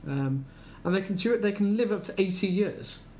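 A man co-hosting a podcast in casual comedic banter, wry and halting in the way he talks. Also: high voice, animated, a little mellow. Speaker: podcast co-host. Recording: clear background noise.

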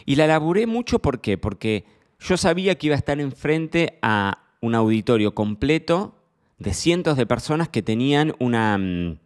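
A middle-aged man with a Rioplatense Argentine accent speaks in a somewhat low voice. He hosts his own podcast, rambling in a relaxed monologue. He pokes fun at himself, peppers his talk with fillers, and keeps checking in with the listener. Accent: Argentine Rioplatense Spanish. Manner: chatty, self-deprecating, frequent fillers and tag questions. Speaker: podcast host. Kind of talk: casual solo podcast monologue.

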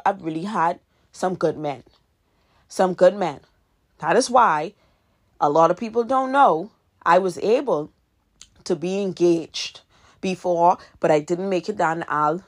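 A woman's voice: on the low side, animated, unhurried. A woman in her twenties hosting a podcast, giving earnest advice in a casual monologue and repeating her key points for emphasis. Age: 20s. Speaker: podcast host and author.

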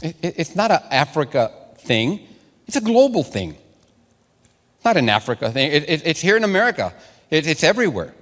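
A man's voice: a little high, sing-song, normal speed, long pauses, fairly bright tone, big-room sound. A man whose first language is Spanish, originally from Mexico, preaching a sermon and telling stories with lots of repeated words and restarts. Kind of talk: sermon to a congregation.

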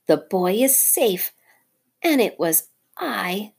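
A woman voicing a brave young male mongoose, bright and excited in his speech. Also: mid-range voice, takes it slowly, creaky, breathy edge, pitched above the performer's own voice, full character voice. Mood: triumphant.

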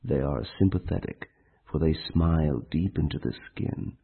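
A man's voice: very deep voice, moderate pace, gravelly, breathy edge. A man reciting a poem as a scripted reading. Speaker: poetry reader. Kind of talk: poetry recitation. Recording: very thin phone-like sound.

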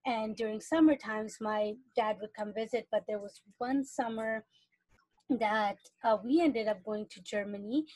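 A woman's voice: fairly high pitch, measured pace, darkish tone, no room sound, low-quality recording. A young adult woman from Iran, a guest on a podcast, talking casually and thoughtfully with frequent fillers. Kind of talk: casual interview talk.

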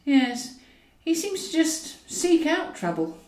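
A woman's voice: high voice, very animated, slow, a little mellow, voice pushed up, exaggerated voice. A woman playing an earnest, politely tentative male prison governor with hopes as a singer, in an audiobook. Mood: musing. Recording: roomy echo, distant from mic.

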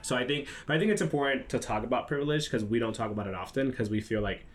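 A man's voice: mid-range voice, fast, a little hiss or hum. A young man from the Dominican Republic, co-hosting a casual podcast and speaking in an earnest, reflective way. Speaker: podcast co-host.

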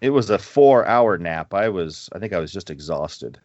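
Low-voiced man in his forties, rambling. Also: animated, normal speed, darkish tone, no room sound. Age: 40s. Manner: rambling, chuckles between asides. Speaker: podcast co-host. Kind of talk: casual chat. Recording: slightly muffled podcast recording.

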